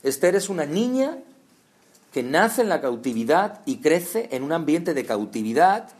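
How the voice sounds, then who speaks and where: Male preacher, fairly high voice, chatty sermon with lots of questions to the listeners. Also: very animated, measured pace, darkish tone. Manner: conversational, addresses listeners directly with rhetorical questions.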